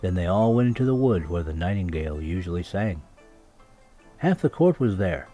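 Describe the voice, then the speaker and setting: Mellow low-voiced man reading a children's story. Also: big pitch swings, normal speed. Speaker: storybook reader. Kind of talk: scripted children's story narration. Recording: music laid behind the voice. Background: music and sound effects.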